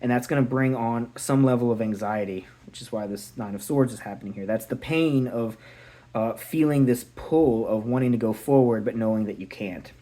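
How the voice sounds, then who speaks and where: Male tarot reader, mid-pitched voice, slightly sore throat, thinks aloud, reflective delivery. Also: normal speed, warm tone. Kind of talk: casual tarot reading to camera.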